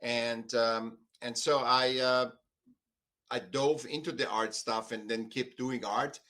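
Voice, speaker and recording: An older man from Austria with an Austrian accent, telling his own story conversationally as a podcast guest in a halting, emphatic way. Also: medium pitch, fairly even intonation, pushed and bright. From Vienna, Austria. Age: 50s or older. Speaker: podcast guest, artist and author.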